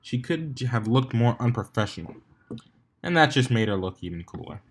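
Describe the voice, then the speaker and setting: A man's voice: medium pitch, very animated, brisk, husky. Man narrating a story, stumbling now and then. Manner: amateur reading with stumbles and retries. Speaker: fan fiction reader.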